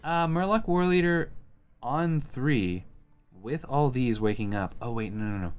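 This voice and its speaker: A man's voice: medium pitch, measured pace, clean tone, muffled recording. A man giving casual gameplay commentary, thinking aloud as he plays. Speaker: host playing a card game.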